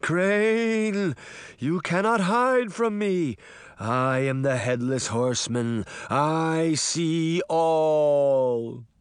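A man's voice: fairly high pitch, no room sound, voice pushed up. Man putting on a moaning, menacing phantom horseman. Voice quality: moaning, croaking, drawn out. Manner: menacing, slow, bellowing. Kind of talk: audiobook character voice.

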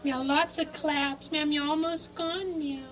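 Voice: sits high, steady tone, unhurried, fairly bright tone. A performer voicing a sweet, polite female cat puppet who peppers her talk with meows in a children's television puppet play. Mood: sweet, eager. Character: sweet polite female cat puppet selling balloons, meowing between words.

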